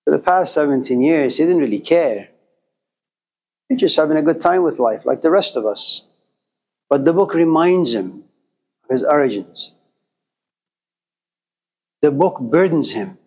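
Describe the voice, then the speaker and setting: A man's voice: fairly high pitch, animated, a little slow, pauses often, a little mellow, rough recording. A man of Persian background giving a classroom lecture, speaking rhetorically and driving points home through emphatic repetition. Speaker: teacher.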